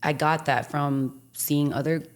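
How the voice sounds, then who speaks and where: Raspy low-voiced young woman. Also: fairly even intonation, measured pace. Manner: casual, profanity-laced, laughing often. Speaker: podcast guest. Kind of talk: candid casual chat.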